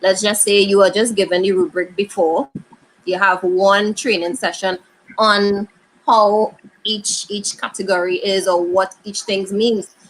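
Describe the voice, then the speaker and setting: A woman's voice: mid-range voice, fairly even intonation, measured pace, a little hiss or hum, poor audio. Earnest woman panelist explaining. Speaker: panelist and judge. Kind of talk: panel discussion.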